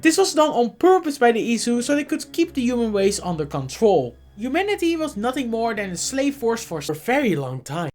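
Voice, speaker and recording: A woman's voice: on the high side, darkish tone. A woman with a cold narrating a scripted explainer as a video host. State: has a cold.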